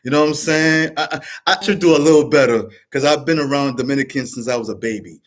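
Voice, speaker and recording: A man's voice: medium pitch, fast talker. A man hosting his vlog, talking through a casual motivational monologue, rambling and restarting often. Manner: rambling, repetitive, frequent restarts. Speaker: vlog host.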